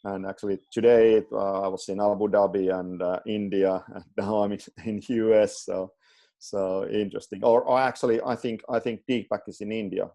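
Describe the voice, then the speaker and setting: A man's voice: lowish voice, little pitch movement, normal speed, creaky, dark tone. A man with a Finnish accent talking casually on a video call, often repeating words as he searches for them in English. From Finland.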